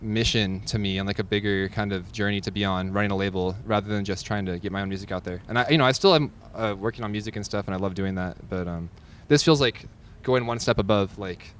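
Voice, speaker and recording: A man's voice: fairly low pitch, quick pace, slight background noise. A man chatting casually in an interview, earnest and informal, thinking aloud as he goes. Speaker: cassette label owner and musician, interview guest.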